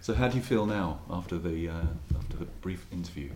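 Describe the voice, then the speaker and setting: Low-voiced male radio host, echoey venue. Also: expressive, fast talker. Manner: quiet, attentive, many brief murmurs of assent. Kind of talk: live radio interview. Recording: echoey live venue with crowd behind.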